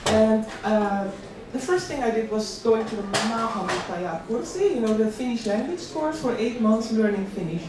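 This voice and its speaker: A woman's voice: on the high side, lively intonation, moderate pace, hardly any pauses. A middle-aged woman from the Netherlands giving a personal, conversational motivational talk to an event, repeating key words for emphasis. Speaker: invited speaker at a career event. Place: large room at an employment event. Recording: echoey hall, distant mic, audience noise.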